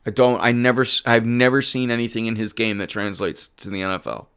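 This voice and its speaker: Hoarse, animated sports host. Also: male voice, medium pitch, brisk. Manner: animated and emphatic, rattling off stats. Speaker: livestream sports show host. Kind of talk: casual sports talk reading chat.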